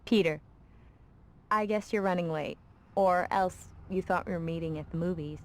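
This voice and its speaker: A woman's voice: fairly low pitch, moderate pace, close to an everyday voice. An actress playing a casual, slightly impatient young girlfriend in a video game. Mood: mildly impatient.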